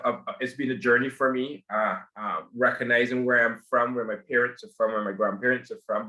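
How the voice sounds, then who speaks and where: Male guest, halting delivery with many restarts, roomy echo, mid-pitched voice. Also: pushed and bright. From Barbados. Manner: hesitant, repeats words often. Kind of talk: podcast interview. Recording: echoey room.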